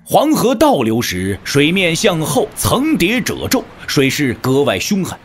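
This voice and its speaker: A man's voice: a little high, wildly swooping pitch, measured pace, slight background noise. A man narrating a martial-arts audio drama in a dramatic, scripted storytelling style.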